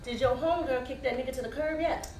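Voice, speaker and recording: A woman's voice: sits high, fast talker. A woman playing a sassy, cynical, hard-drinking friend on stage, with slangy, theatrical emphasis. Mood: mocking. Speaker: stage actor. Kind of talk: live stage comedy-drama dialogue. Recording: distant, echoey, noisy stage recording.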